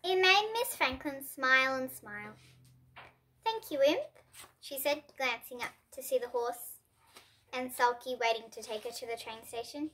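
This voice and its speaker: A young girl reads a children's story aloud in a high, clear voice. She adds expression to the dialogue, lifting her tone for the different characters. The sound stays bright and sharp throughout. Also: normal speed, a little hiss or hum. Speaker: storybook reader. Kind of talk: reading a picture book aloud.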